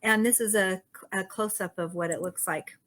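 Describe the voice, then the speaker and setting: A woman's voice: medium pitch, steady tone, fairly bright tone. A woman co-hosting a casual quilt shop video who reacts cheerfully and agrees with her partner. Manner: upbeat, agreeable, quick to react. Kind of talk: casual show-and-tell chat.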